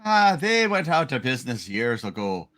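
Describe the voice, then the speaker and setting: A man voicing a gruff, weary, no-nonsense policeman in an amateur comic play reading. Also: mid-range voice, sing-song, moderate pace, a bit bright, pitched below the performer's own voice. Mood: brusque.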